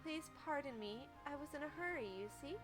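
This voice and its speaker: A woman's voice: sits high, lively intonation, fairly quick, fairly bright tone, faint music behind, voice pushed up. A woman voicing a brash young Southern cowgirl actress with a put-on folksy drawl. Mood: flustered.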